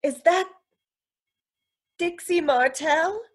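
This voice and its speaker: An actress playing an exasperated, sharp-tongued but loyal fiancée who alarms easily, in the style of a vintage radio crime drama. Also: female voice, very high voice, very slow, full character voice. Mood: alarmed. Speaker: actress in a live radio theatre retelling.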